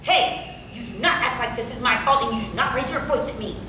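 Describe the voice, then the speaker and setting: A woman's voice: a little fast, pushed and bright. A woman playing a fiercely loyal, strong-willed working-class wife in a stage tragedy reading, insistent and firm. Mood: stern. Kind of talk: theatrical table reading of Southern Gothic tragedy. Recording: very echoey, distant room with rumbling noise.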